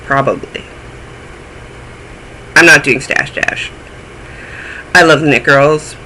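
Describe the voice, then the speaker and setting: Chatty, bright-voiced man, a bit phlegmy. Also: fairly high pitch, fairly even intonation, slow pace, slight background noise, low-quality recording. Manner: chatty, rambling, self-deprecating. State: a little phlegmy. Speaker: knitting podcast host. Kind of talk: casual chat.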